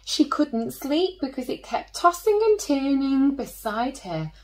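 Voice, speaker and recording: A woman reads a children's picture book with a very animated, slightly high voice. She takes her time, stretching important words and slipping into hushed little asides like a teacher at story time. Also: unhurried. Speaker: teacher reading children's stories, host. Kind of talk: expressive picture-book read-aloud for children.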